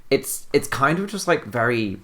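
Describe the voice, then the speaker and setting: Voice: mid-range voice, very animated, moderate pace, a bit bright. A podcast co-host chatting casually about games in a rambling, hedging way, with lots of filler.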